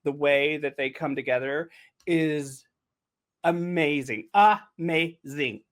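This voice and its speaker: A man's voice: fairly high pitch, takes it slowly, fairly bright tone. A man hosting a casual behind-the-scenes group chat in a playful, teasing manner. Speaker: podcast host and writer.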